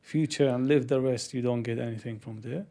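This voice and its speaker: A man with a mellow, warm-toned voice joins a relaxed podcast chat. He talks haltingly, circling back and restarting his sentences as he works out what he means. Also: medium pitch. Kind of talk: casual podcast conversation.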